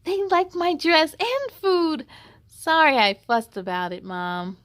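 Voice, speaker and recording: A woman plays a kind young girl from a picture book. The voice is high and unhurried. She sounds earnest and encouraging. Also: animated, fairly bright tone, heavily acted. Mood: sheepish, happy.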